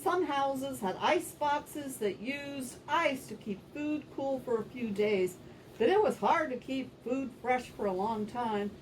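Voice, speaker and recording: A woman with a high voice performs scripted puppet show narration for children. Her delivery swings widely in pitch and stays animated. She is picked up from a distance, with faint noise behind her.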